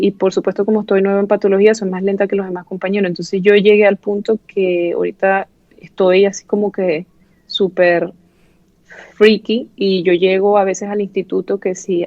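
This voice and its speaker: A woman's voice: mid-range voice, darkish tone, no echo. A Venezuelan woman in her thirties, a resident doctor, speaking candidly in an interview with many fillers and restarts. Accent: Venezuelan Spanish. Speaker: pathology resident doctor, guest. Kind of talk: casual interview chat. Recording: dull lo-fi sound.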